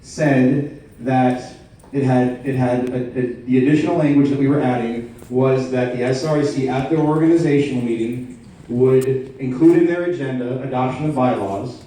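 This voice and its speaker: Man, formal debate, methodical and insistent, soft-edged tone, picked up from a distance. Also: mid-range voice, normal speed. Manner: precise, insistent, methodical questioning. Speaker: delegate questioning the chair at a meeting. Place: large indoor meeting room. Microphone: floor microphone. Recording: roomy echoing hall, distant mic.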